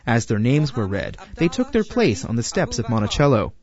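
A man's voice: mid-range voice, huge pitch swings, normal speed, a little mellow, muffled recording. A man reading a scripted news feature as its narrator. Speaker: narrator, reporter.

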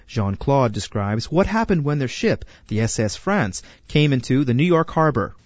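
Man narrating news, lively pitch, dry sound. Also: normal speed, no room sound, highs cut off. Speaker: narrator, reporter. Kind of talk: scripted news feature narration.

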